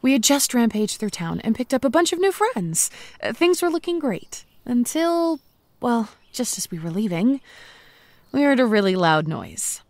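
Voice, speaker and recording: An actress playing a cheerful, self-aware young zombie, chatting wryly and playfully to the listener in a comedic audio drama monologue. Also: female voice, on the high side, big pitch swings, moderate pace, darkish tone, dry recording. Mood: wistful, wry. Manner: wry, playful, conversational asides to the listener.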